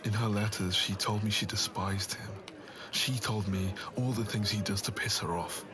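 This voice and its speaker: A man's voice: lowish voice, steady tone, moderate pace, a crowd in the background, lightly acted. A man playing a jealous young man stung by rejection, speaking earnestly and bitterly in a dramatic roleplay. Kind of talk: dramatic conversational roleplay voice acting.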